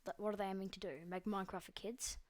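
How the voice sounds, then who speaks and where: A woman's voice: medium pitch, level tone, quick pace, slight background noise. A young Australian woman giving casual, rambling commentary over her gameplay, full of ums and likes and the odd swear. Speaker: gaming video creator.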